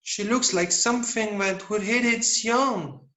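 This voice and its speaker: Man as young boy, cheeky, wistful, blunt, somewhat high voice. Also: a little slow, sharp tone. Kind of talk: amateur musical read-through.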